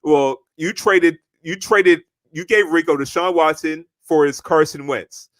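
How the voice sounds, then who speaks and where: A man's voice: on the high side, measured pace, fairly bright tone, no room sound. A young man co-hosting a podcast, talking trash in boastful, repetitive casual chat. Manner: boastful trash talk, repeats himself. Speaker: podcast co-host.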